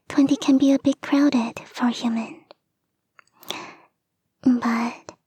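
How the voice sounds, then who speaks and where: A woman voicing a clingy young kitsune fox girl in an intimate ASMR roleplay, soft and breathy, often whispering, coaxing and sweetly insistent, close to the microphone. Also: high-pitched, no echo. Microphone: close, dry microphone.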